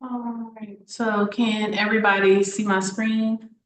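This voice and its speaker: A woman's voice: medium pitch, little pitch movement, unhurried, reverberant, low-quality recording. A woman academic advisor, walking an audience through slides. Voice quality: slightly raspy from a cold. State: getting over a cold. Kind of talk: presenting a college info session.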